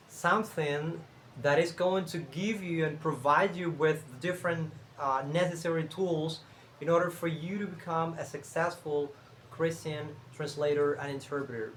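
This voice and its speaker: A young man from Cuba with a Cuban Spanish accent, Spanish his first language, talking casually to camera as a channel host, unhurried and thinking aloud with fillers and restarts. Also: on the high side, a bit bright, slight background noise.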